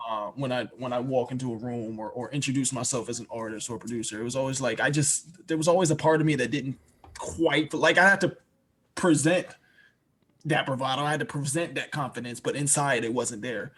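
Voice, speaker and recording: A man's voice: mid-range voice, a little fast, fairly bright tone, breathy edge. A young man from Washington, D.C., a rapper and producer, talking reflectively as a podcast guest, often restarting and repeating words. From Washington, D.C., USA. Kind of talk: podcast interview.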